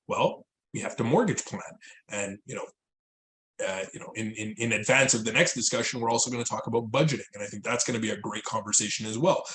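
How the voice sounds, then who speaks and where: A man's voice: lowish voice, a bit bright. A man co-hosting a money podcast on a video call, a financial advisor who rambles with lots of restarts and "you know.". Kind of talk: casual chat on a call. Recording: echoey call audio.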